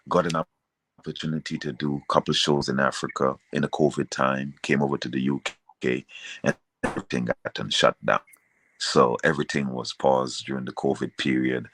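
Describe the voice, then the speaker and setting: Very deep-voiced man. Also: a bit bright, no room sound. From Caribbean, outside Jamaica. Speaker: reggae singer being interviewed. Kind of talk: casual interview, recounting a career.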